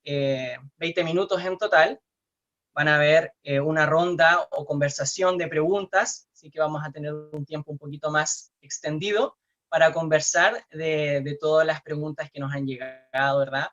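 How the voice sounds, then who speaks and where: A man's voice: fairly high pitch, fairly bright tone, muffled recording. A man with a Chilean Spanish accent co-hosting an online forum, speaking hesitantly with many fillers and tag questions. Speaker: co-host.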